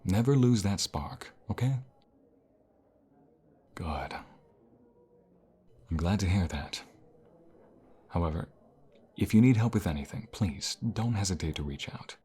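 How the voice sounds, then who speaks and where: Guy playing a kind college professor in an asmr roleplay, low breathy voice, gentle and reassuring, takes his time. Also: lively intonation, measured pace, pauses often, heavily acted. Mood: reassuring, encouraging. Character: caring, encouraging male college professor, gently self-deprecating. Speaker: voice actor in an audio role play. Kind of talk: intimate ASMR role play, one-sided conversation.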